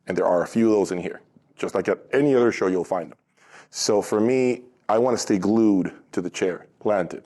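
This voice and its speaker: A man's voice: lowish voice, normal speed, mellow. A man hosting a casual on-location interview, speaking emphatically as an interviewer and reviewer. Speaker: interviewer and audio review show host.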